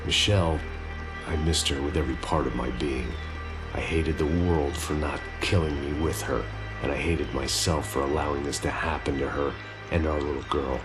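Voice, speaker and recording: An actor voicing a grieving, weary ex-cop who narrates in a bitter noir style. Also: male voice, very deep voice, steady tone, moderate pace, music in the background. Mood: brooding. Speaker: game protagonist narrating.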